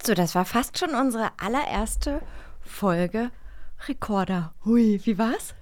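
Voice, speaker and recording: Lady podcast cohost, highish voice, gushes a bit, super casual. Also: lively intonation, slow pace, a little mellow, no room sound, studio quality. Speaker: podcast co-host. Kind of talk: casual chat.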